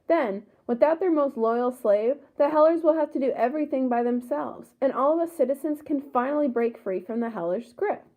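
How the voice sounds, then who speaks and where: On a thin, phone-like recording, an eager scheming friend , read by a woman, speaks in a high voice. The delivery is urgent and encouraging storybook dialogue. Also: moderate pace, hardly any pauses, close to an everyday voice.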